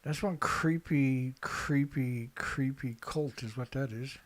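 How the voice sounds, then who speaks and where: A man's voice: medium pitch, slow. A man giving unscripted, opinionated news commentary as an online host, reading out headlines and reacting in a blunt, emphatic, colloquial way with a lot of repetition.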